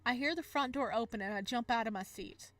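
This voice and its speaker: Bright-voiced woman gaming host, fairly high pitch. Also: brisk, dry recording, faint music behind. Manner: animated, exclamatory asides. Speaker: gaming video host reading a visual novel. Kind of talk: expressive narration and casual commentary.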